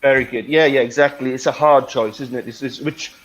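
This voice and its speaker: A man's voice: medium pitch, steady tone, a little fast, a bit bright, lo-fi sound, a little hiss or hum. A middle-aged man hosting a conversational online English lesson, phrasing things hesitantly with tag questions. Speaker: English teacher, webinar host.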